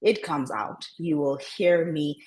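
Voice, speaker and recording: A woman coaching public speaking over a video call, talking in a deliberately lowered register and often repeating words as she restarts. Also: darkish tone. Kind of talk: online coaching session.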